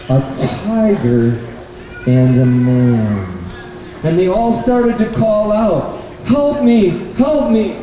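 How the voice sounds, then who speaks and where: A man telling a story live to children at a puppet show, in short, simple explanatory phrases. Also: sits high. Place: public event in a bookstore, mic at a distance. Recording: echoey, distant, noisy live event recording.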